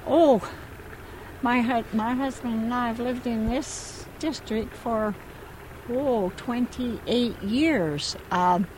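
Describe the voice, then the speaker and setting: A woman's voice: on the high side, slow, background noise. An older woman from Canada, chatting in a street interview on an outdoor beach, exclaiming and restarting her sentences. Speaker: interviewee, local dog walker.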